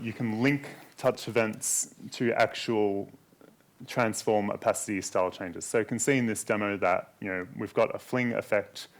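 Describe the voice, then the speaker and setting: Male tech speaker with a lowish voice, calmly walking an audience through demos. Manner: explanatory, steady walkthrough of code and demos. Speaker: conference speaker. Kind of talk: technical conference talk walking through demos.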